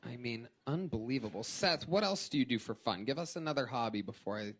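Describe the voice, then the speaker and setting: A man's voice: mid-range voice, lively intonation, a little fast. A man hosting a live comedy show, teasing and needling as he interviews a guest with mock amazement. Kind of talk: live roast banter, interviewing a guest comic.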